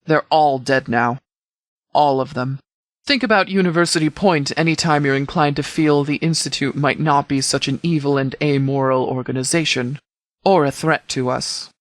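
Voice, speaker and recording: A stern middle-aged lawman from a video game, acted by a man, with a slightly high male voice and a very dry, close sound. He phrases things formally and precisely, grave and controlled like a commander who holds to his principles. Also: moderate pace, no echo, natural voice. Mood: grim, warning. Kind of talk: scripted video game character dialogue.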